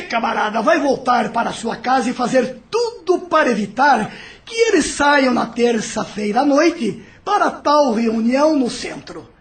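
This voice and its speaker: Um ator interpretando um espírito maligno dominador, debochado e manipulador em uma novela de áudio. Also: male voice, extremely high pitch, full character voice. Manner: mocking, manipulative, theatrical. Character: domineering, scheming male evil spirit, sly and vengeful. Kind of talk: audio drama acting.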